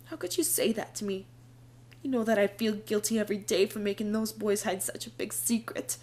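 A woman's voice: mid-range voice, brisk, a little mellow, close to an everyday voice. A woman playing a spirited young girl disguised as a newsboy in a fan audio drama, talking in an earnest, pleading way. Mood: hurt. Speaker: Brenna, called Trix.

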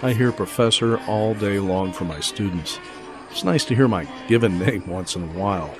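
A man's voice: lowish voice, normal speed, over music, close to an everyday voice. An actor playing a polite, courteous male college professor of about fifty in an audio drama.

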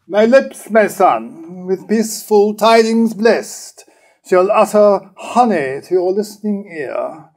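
A man playing a solemn, benevolent high priest in a formal reading of a verse drama. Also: animated, takes it slowly, a little mellow, exaggerated voice. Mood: solemn, glad. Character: solemn, benevolent male high priest bearing good news. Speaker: actor in a staged reading.

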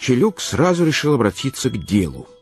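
An actor reading as the author-narrator of a literary period tale in a radio play. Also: male voice, medium pitch, huge pitch swings, brisk, darkish tone, soft background music. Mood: measured storytelling.

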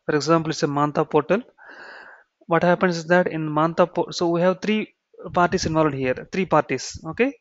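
A man with an Indian accent giving an explanatory software tutorial, repeating key phrases and checking in with "okay" and "right". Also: a little high, normal speed, no room sound. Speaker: software trainer. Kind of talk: explanatory screen-share tutorial. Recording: dull, muffled lo-fi sound.

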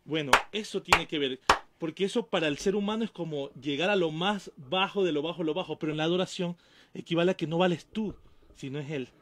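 A young adult man, a guest pastor, explaining calmly at a desk mic in a small studio, trailing off softly at the ends of phrases. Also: on the high side, brisk, a little hiss or hum. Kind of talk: explanatory talk-show conversation. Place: small studio with painted backdrop. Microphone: desk mic on stand.

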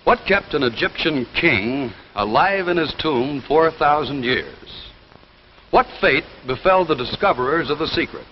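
Muffled vintage TV soundtrack, male announcer, highish voice, scripted sales delivery, lively intonation. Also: unhurried. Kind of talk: 1950s scripted commercial and announcement. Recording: muffled, noisy 1950s television soundtrack.

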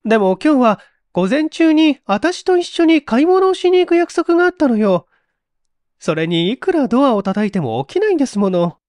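Fretful young female lodger, acted by a man: storybook role, high feminine voice, lively and urgent. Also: brisk, no room sound. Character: impatient, fretful young married woman lodging in the house.

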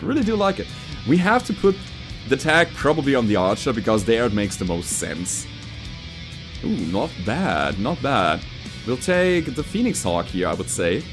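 Man with lively pitch, gaming commentary. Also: moderate pace. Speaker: gaming video host. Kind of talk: casual gameplay commentary. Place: indoor home streaming room. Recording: loud game music and gunfire behind. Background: game music, gunfire, explosions.

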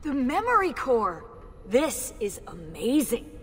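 An actress playing an excitable, upbeat young woman tech expert in a video game, informal and enthusiastic. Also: on the high side, takes it slowly. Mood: excited. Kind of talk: video game cutscene acting. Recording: reverberant room, faint music.

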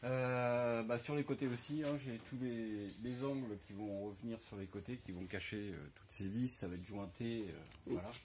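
A man's voice: mid-range voice, steady tone, normal speed, few pauses, a little mellow, a little hiss or hum. A man in his forties with a French accent casually explaining a camper van rebuild in a large workshop, talking through a lapel mic with many hesitations and restarts. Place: large workshop garage, indoors.

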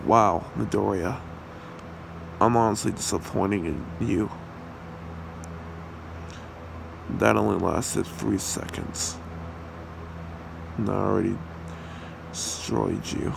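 A man's voice: lowish voice, takes it slowly, long pauses, exaggerated voice. A man voicing a possessive, cruel demon husband with a menacing, taunting manner in an ASMR roleplay. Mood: mocking. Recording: clearly noisy home recording, steady hum.

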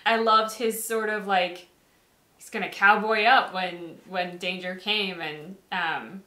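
A woman in her thirties talks casually as a co-host, with a mid-range voice. The room around her is clearly echoey. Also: medium pitch, steady tone, slow, pushed and bright. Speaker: reaction show co-host. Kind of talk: casual chat. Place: small indoor set with backdrop, seated.

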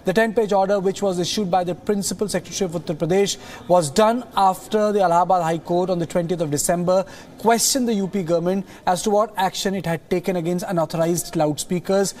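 A man in his thirties anchoring a studio news bulletin, reading the news in a measured way and then questioning a colleague with some hesitant fillers. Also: sits high, steady tone, a little mellow, a little hiss or hum.

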